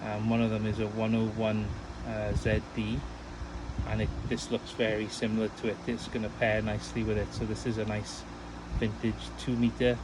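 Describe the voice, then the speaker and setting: Chatty man on amateur radio, noisy background. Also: fairly low pitch. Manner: chatty, rambling, uses radio callsigns. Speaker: amateur radio operator, channel host. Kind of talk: casual ham radio chat.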